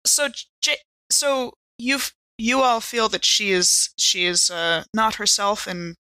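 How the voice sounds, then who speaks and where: A woman's voice: medium pitch, lively intonation, slow, sharp tone, no echo. A woman playing a polite, analytical investigator in a tabletop game, speaking formally and carefully with hesitant phrasing.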